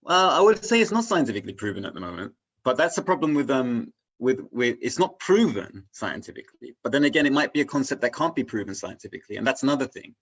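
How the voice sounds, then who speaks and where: A man talking casually and reflectively as a guest, haltingly searching for his words and often checking in with "right?". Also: medium pitch, big pitch swings, slightly rough, highs cut off. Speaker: interview guest on spirituality.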